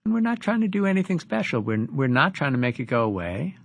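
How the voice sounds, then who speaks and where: Man guiding meditation with expressive, varied pitch. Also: fast, vocal fry, dry recording. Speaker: meditation teacher. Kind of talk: guided meditation instruction.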